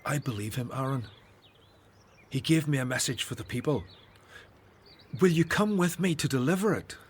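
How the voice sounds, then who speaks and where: An actor playing an earnest, devout Hebrew prophet and leader in a radio Bible drama, with a solemn, declamatory manner. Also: male voice, mid-range voice, normal speed, a little hiss or hum, close to an everyday voice. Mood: fervent, solemn.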